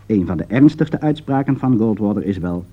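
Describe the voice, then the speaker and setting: A man's voice: lowish voice, lively intonation, brisk, muffled recording. A man reading a scripted political commentary in a formal, emphatic, rhetorical manner. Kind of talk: scripted broadcast commentary read aloud.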